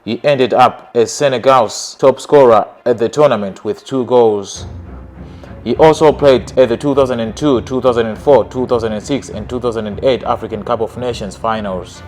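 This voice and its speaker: A man narrating a scripted countdown of football players in an even, list-like reading. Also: medium pitch, moderate pace, soft background music.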